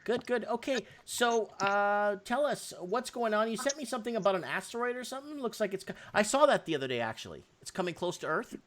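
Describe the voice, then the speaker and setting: A man with a fairly high voice hosts a casual livestream. He rambles, repeats phrases and talks straight to his viewers in a chatty, informal way. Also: animated, brisk, few pauses, fairly bright tone. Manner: rambling, repetitive, addresses viewers as guys. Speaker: livestream host. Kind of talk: casual live call-in chat.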